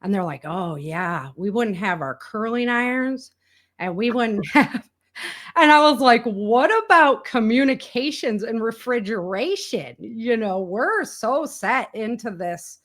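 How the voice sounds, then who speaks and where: A woman's voice: a little high, lively intonation, measured pace, a bit bright. A woman with an American accent chatting casually as a guest, telling stories in a candid way with everyday slang. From rural United States. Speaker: guest, indie author and entrepreneur. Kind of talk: casual conversational storytelling.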